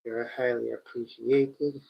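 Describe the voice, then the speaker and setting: A man hosting a live stream, talking slowly and directly to his chat, his voice congested and stuffy from a cold. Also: medium pitch, level tone, creaky. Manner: slow, repetitive, addresses the chat directly. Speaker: livestream host. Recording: dull lo-fi stream audio.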